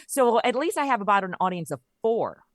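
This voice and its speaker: A woman's voice: mid-range voice, very animated, fairly quick, no room sound. A middle-aged woman speaking as a podcast guest in a casual conversational style, with a wry, joking manner. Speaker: podcast guest, audio comedy creator.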